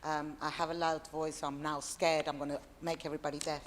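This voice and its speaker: A woman's voice: low voice, level tone, fast, very noisy. A woman resident reading a prepared statement at a council meeting, nervous and earnest, with pointed, direct delivery. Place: council chamber. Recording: long reverb of a hall.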